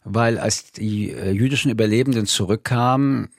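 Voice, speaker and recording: Older man, low voice, thoughtful. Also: creaky, dry recording, studio quality. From France. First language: French. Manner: thoughtful, measured, with frequent hesitations. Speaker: interview guest. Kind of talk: reflective interview answers.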